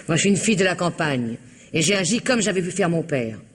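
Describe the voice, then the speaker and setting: Cold defiant wife, curt and flat, old radio play. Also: female voice, lowish voice, a little fast, slightly rough. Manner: curt, cool, flatly defiant. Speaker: actor in a radio drama. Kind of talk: vintage French radio drama acting.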